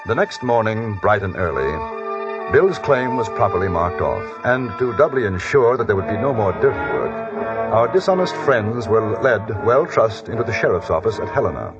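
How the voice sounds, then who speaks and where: A composed English newspaperman of the Old West, low and very expressive. He speaks with measured, urbane irony in an English accent. It is a muffled 1950s radio western with music. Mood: measured narrating. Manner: measured, urbane, dryly ironic. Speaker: lead actor and narrator.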